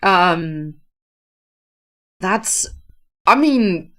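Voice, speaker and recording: A woman's voice: fairly low pitch, animated, extremely slow, a bit bright. A Dutch woman with a Dutch accent, casually hosting a livestream, answering viewer comments in a thoughtful way, with many fillers and restarts. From Netherlands. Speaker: livestream host, author.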